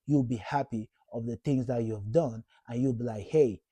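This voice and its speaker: A man's voice: medium pitch, moderate pace, warm tone, breathy edge, dry recording. A man in his thirties from Cameroon, giving an earnest, encouraging motivational talk to camera and repeating points for emphasis. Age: 30s. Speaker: vlogger.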